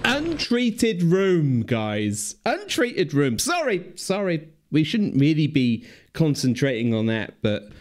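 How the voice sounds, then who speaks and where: A man's voice: fairly high pitch, huge pitch swings, slow. A man hosting a reaction video, making enthusiastic, chatty asides. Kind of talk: casual reaction commentary.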